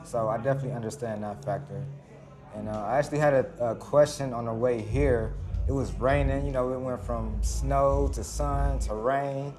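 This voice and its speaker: A man's voice: mid-range voice, fairly even intonation, moderate pace, darkish tone. A man hosting interviews at a studio meetup, upbeat and introducing his guests. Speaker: interview host. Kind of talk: event interview. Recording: dull sound, music behind.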